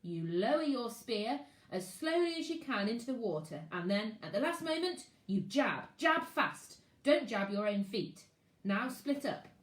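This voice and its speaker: A woman's voice: fairly high pitch, sing-song, measured pace. A woman reading aloud, voicing a commanding adult male jungle explorer who speaks in terse, instructive commands. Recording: roomy echo.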